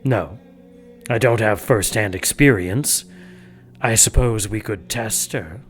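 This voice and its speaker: A man's voice: lowish voice, slow pace, faint music behind. An actor playing a cold, scheming male church leader who gives orders dryly, in an audio drama. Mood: sly. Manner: dry, commanding, sly.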